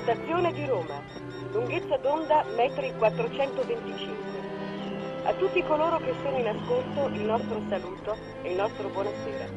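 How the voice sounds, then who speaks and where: Female announcer, formal and declamatory, 1920s radio style, dull lo-fi broadcast sound, loud music. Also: fairly high pitch, lively intonation, moderate pace. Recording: band-limited old radio broadcast with music.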